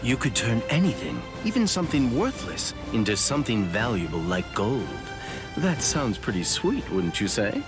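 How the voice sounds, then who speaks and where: A man's voice: medium pitch, sing-song, normal speed, close to an everyday voice. An actor playing a sly, playful man in an anime game, teasing and drawling with mock innocence and theatrical curiosity. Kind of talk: anime video game voice acting. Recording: music behind. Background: background music.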